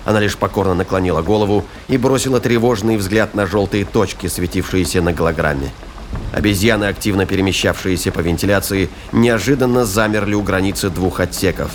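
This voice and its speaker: A man's voice: sits low, fairly quick, slight background noise. A man giving dramatic scripted narration as the narrator of a science-fiction audio drama.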